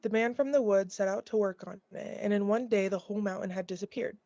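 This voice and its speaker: A woman's voice: medium pitch, fairly even intonation, fast, mellow, no room sound. A woman reading a children's fairy tale aloud as scripted narration, in a dull, lo-fi recording. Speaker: storybook reader.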